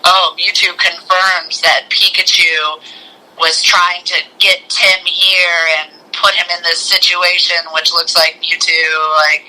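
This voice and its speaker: Bright, forceful higher-voiced man chatting. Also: low-quality recording. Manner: animated retelling, mimics characters' lines in passing. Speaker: podcast co-host. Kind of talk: casual movie review chat.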